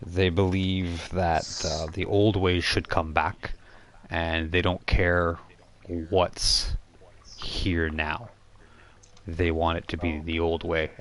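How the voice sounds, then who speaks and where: A man with a low-pitched voice acts as game master for a tabletop game. He narrates casually and off the cuff, often halting to rephrase and explain details. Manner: halting, explanatory, self-correcting.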